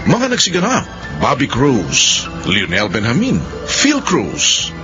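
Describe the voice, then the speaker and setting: A man's voice: medium pitch, wildly swooping pitch, takes it slowly. A man announcing the close and credits of a radio drama in a dramatic, proclaiming way. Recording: lo-fi radio, loud music behind.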